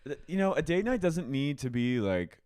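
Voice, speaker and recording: A male podcast co-host in casual chat, retelling reality-show scenes in an animated way. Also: fairly high pitch, normal speed, clean tone.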